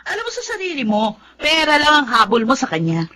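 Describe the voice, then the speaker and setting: A woman's voice: high voice, huge pitch swings, fairly quick, bright, forceful tone, heavily acted. A woman with a Filipino accent acting an aggrieved, defiant daughter in a melodramatic radio drama. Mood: accusing. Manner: confrontational, emotional, accusing. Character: defiant, aggrieved grown daughter defending her dead father.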